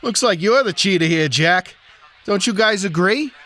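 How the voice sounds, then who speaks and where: Casting: a man for a smug goading card-cheat villain, high animated voice, gangster radio drama. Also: moderate pace. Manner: mocking, goading.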